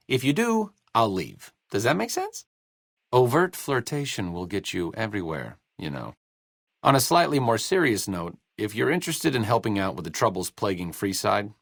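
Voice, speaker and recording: Video game companion: a bookish, sarcastic male doctor, idealist and self-deprecating, very varied pitch, no room sound. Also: a bit bright, no echo, full character voice. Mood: cautious, wry. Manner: dry, sarcastic, wordy delivery.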